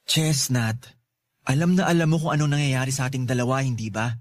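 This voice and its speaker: An actor playing a defeated, self-doubting young boyfriend in a radio drama, incredulous and exasperated, with a Filipino accent. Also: male voice, mid-range voice, fairly even intonation, brisk, dry recording, lightly acted. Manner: incredulous, exasperated, firing rhetorical questions.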